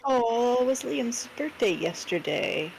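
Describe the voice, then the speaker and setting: A woman's voice: fairly high pitch, lively intonation, slow, gravelly, rough recording. A woman chatting casually and offhand as a companion on a livestream, with a lightly teasing way of talking. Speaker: streamer's companion, playing games. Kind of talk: casual chat. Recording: music playing under the voice. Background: background music.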